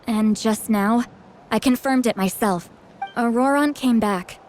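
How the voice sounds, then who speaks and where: Woman voicing a tribe mentor lady in a game, kinda high youthful voice, frank and protective, sure of herself. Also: unhurried, darkish tone, slight background noise, close to an everyday voice. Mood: serious. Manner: candid, informal, self-assured. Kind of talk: video game voice acting.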